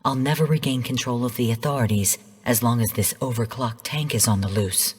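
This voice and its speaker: An actress playing a female artificial intelligence in a video game, speaking in a measured, formal, clinical manner. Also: extremely low pitch, a little mellow, husky. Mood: clinical, informative. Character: calm female rogue artificial intelligence mentor turned genocidal overseer. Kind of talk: scripted video game voice lines. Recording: long hall-like reverb.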